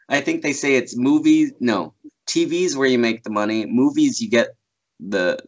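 A man's voice: mid-range voice, a little hiss or hum. Rambling man on a muffled video call. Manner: rambling, laughs often, many fillers and restarts. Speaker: voice actor guest. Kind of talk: casual interview chat. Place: small room at home.

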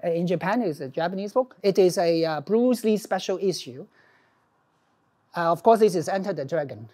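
Casting: middle-aged male lecturer, Hong Kong accent, informal academic delivery with emphatic, animated manner. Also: high voice, moderate pace. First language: Cantonese. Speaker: professor, lecturer. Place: classroom with small audience, indoors.

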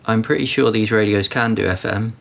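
Low-pitched, gravelly man demonstrating radios. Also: a little fast, fairly bright tone, slight background noise. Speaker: amateur radio reviewer. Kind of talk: casual hands-on demo and narration.

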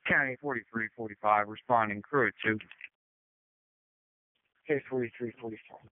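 A man of middle pitch handles emergency radio traffic with clipped, procedural replies. The two-way sound is narrow and tinny, with no room echo. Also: moderate pace.

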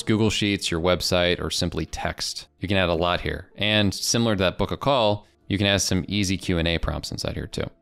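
A man in his twenties with an American accent, presenting a casual software demo into a close desk microphone in a small home studio. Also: sits low. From United States. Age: 20s. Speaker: presenter of software review videos. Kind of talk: casual product demo narration.

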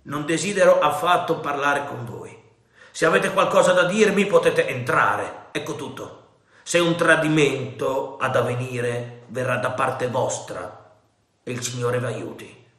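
A man's voice: mid-range voice, animated, a little slow, a bit bright. A man voicing a stern, wary ship captain who gives curt commands. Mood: commanding. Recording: roomy echo.

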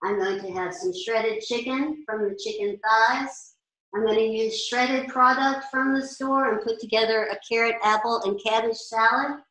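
A woman's voice: a little high, fairly even intonation, normal speed, fairly bright tone. An older woman chef in her sixties, teaching meal planning in a chatty, practical way in a large kitchen. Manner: chatty, practical, standing and gesturing at boards. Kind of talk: informal cooking class talk. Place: large indoor commercial kitchen. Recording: echoey kitchen room sound.

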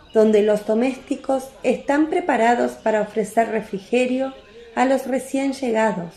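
A woman narrating a religious radio drama from a script, with a solemn, deliberate literary delivery. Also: fairly high pitch, mellow, echoey room.

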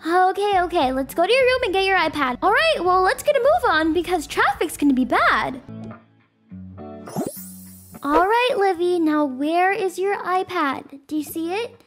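A woman voicing a busy, caring, organised mother in a family roleplay, brisk and instructive. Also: very high-pitched, big pitch swings, a bit bright, soft background music, heavily acted.

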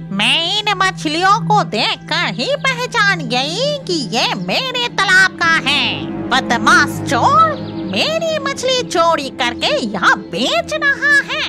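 Very high-pitched cartoon witch. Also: huge pitch swings, heavily acted. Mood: indignant. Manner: dramatic, exclaiming, drawn-out delivery. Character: green-skinned witch woman guarding her fish pond, indignant. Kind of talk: Hindi children's cartoon dubbing. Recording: loud background music. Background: children's cartoon music.

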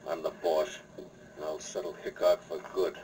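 The scheming American mayor hissing orders to a henchman in a radio Western, deep, bossy, a little off the microphone. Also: little pitch movement, moderate pace, dark tone. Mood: urgent, domineering. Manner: curt, barking orders. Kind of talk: 1950s Western radio acting. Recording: distant, noisy old radio recording.

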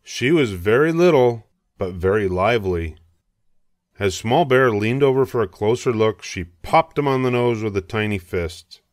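An older man narrating a children's storybook, reading aloud in a measured, expressive way. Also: lowish voice, no room sound, studio quality.

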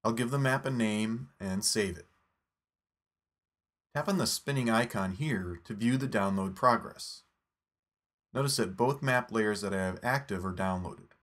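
A man narrating a scripted phone app tutorial in a steady, instructive step-by-step voice. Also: on the low side, measured pace, long pauses.

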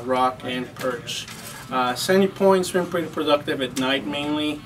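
Casual guy hosting a report, lots of filler words, mid pitch, music clearly in the background. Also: distant mic, rough recording. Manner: informal, filler-heavy delivery with frequent "you know". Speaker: fishing report host. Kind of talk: casual unscripted fishing report to camera. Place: inside a tackle and clothing shop. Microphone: lapel mic.

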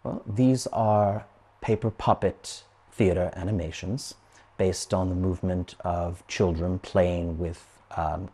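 A man in his thirties, a gallery founder, explaining things conversationally and a little haltingly in an interview. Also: low-pitched, dark tone, breathy edge. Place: dark indoor exhibition room.

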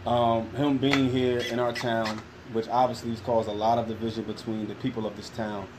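A man asking an interview question in a small classroom, halting with fillers and restarts. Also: medium pitch, little pitch movement. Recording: noisy room ambience.

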